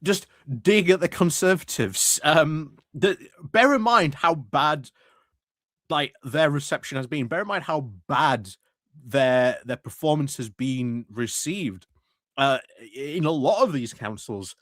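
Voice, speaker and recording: A man's voice: a little high, animated, takes it slowly, a bit bright, no room sound, clean studio sound. A man giving casual live commentary over a council meeting, mocking and chuckling between remarks.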